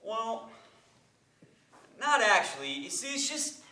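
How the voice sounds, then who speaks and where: Young army braggart, played by a man: awkward, self-important, sharp bright voice, echoey distant stage sound. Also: sits high, sing-song, takes it slowly, exaggerated voice. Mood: awkward bragging.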